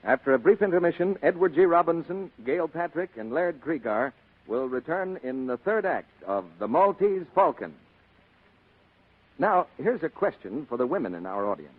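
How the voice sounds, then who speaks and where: An American man announces in scripted 1940s radio style. His husky voice moves widely in pitch. It comes through a tinny, narrow old broadcast. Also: animated, moderate pace.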